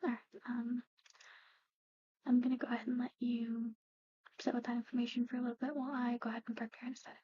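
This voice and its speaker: A woman doing a whispered ASMR medical roleplay, explaining things gently and hesitantly as a matter-of-fact clinic practitioner. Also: a little high, little pitch movement, fast, pauses often, muffled recording. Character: soft-spoken, matter-of-fact woman at an eerie old clinic. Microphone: close-up ASMR microphone.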